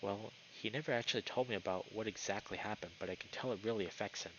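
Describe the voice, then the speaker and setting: A man voicing an earnest, gentle young male buck in a visual novel, speaking softly and hesitantly with concern. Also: fairly low pitch, fast, highs cut off, a little hiss or hum, natural voice. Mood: hushed concern. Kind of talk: visual novel character read aloud by a streamer. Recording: music behind the voice.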